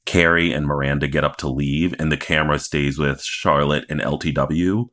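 Man, extremely low voice, podcast co-host, casual recap chat, opinionated, playful emphasis. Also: steady tone, moderate pace, fairly bright tone.

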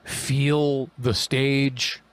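Male interview host, dry close sound, animated storyteller. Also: mid-range voice, extremely slow, clean tone, no room sound. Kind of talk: casual interview chat.

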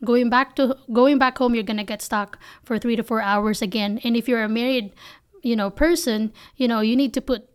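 A woman's voice: a little high, fairly even intonation, normal speed, clear, resonant tone. A woman from the Philippines with a Filipino accent, speaking candidly and emphatically in conversational interview answers as a company founder.

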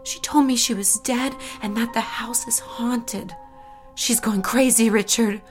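Woman acting very high, meek, prim mistress. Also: steady tone, measured pace, faint music behind, lightly acted. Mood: distressed. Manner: polite, timid, pleading. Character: meek, prim, anxious young mistress feigning kindness. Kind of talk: dramatic audio drama acting.

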